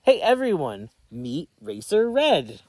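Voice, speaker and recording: A woman voicing a cheerful, gentle little blue truck in a storybook, kind and encouraging. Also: low voice, wildly swooping pitch, takes it slowly, dry recording, voice pushed up, exaggerated voice. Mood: kindly.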